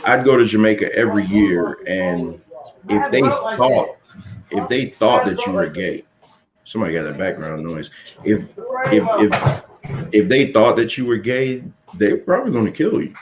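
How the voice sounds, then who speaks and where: A man's voice: lowish voice, lively intonation, moderate pace, a crowd in the background, rough recording. A man in his thirties giving blunt opinions in a casual panel chat, often repeating words as he starts a point. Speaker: podcast panelist.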